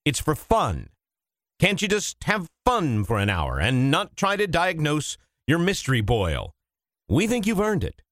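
A man's voice: on the high side, huge pitch swings, unhurried, a bit bright, no echo, studio quality. A man reading a scripted comic disclaimer for a show in a playful, mock-soothing way. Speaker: show announcer.

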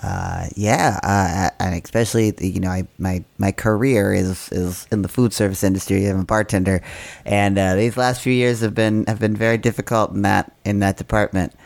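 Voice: low voice, fairly even intonation, moderate pace, creaky, hardly any pauses, darkish tone. A podcast co-host chatting in an easygoing, hesitant way with many fillers. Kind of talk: casual chat. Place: small recording studio.

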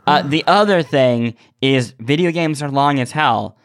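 A man's voice: medium pitch, unhurried, smooth, no echo, clean studio sound. A man co-hosting a podcast, talking casually and analytically, often repeating words as he forms his argument. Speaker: podcast host. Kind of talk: casual opinionated discussion.

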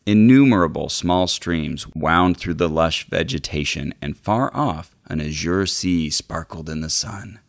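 A man's voice: sits low, animated, a little slow, hardly any pauses, no room sound, clean studio sound. A man co-hosting a podcast, chatting and reading book passages aloud. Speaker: podcast co-host.

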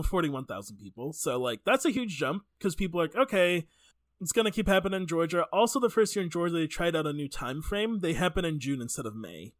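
Male podcast host, informal and joking storyteller, very lively intonation, close dry sound. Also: a little high, no echo. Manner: informal, jokey, sweary. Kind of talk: casual chatty podcast storytelling.

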